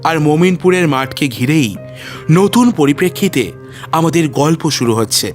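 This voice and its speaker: A man narrating a story in a measured, literary and dramatic way, with strong emphasis. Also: on the high side, lively intonation, soft background music.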